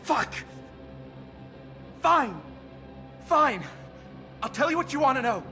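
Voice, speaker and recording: A man's voice: extremely high pitch, big pitch swings, takes it slowly, sharp tone, faint music behind, exaggerated voice. An actor playing a panicked, pleading male captive in a video game cutscene.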